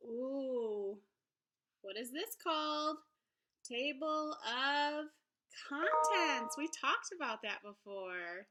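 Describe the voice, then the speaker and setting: A woman teacher reading aloud to young children in an encouraging, expressive storybook manner. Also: high voice, drawn-out words. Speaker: elementary school teacher. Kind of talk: children's read-aloud lesson.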